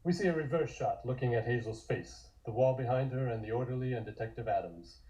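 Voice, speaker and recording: A man's voice: medium pitch, brisk, dark tone, poor audio. A man reading scripted scene descriptions as the narrator of a table read.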